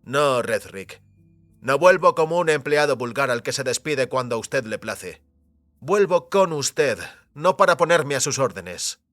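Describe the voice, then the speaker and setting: A man plays a determined technician in a dramatised audiobook, close and dry over soft music. He speaks firmly and insistently, defiant and tired of being used, with pitch that rises and falls widely. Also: animated, dry recording. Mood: defiant, resolute. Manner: firm, deliberate, insistent.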